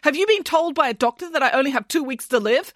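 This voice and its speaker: Woman voicing a bold, emphatic pig nanny, animated pitch. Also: a little fast, dry recording, clean studio sound. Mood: alarmed, suspicious. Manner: emphatic, haughty, dismissive. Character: bold, indignant, dramatic female pig nanny. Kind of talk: children's storybook character voice.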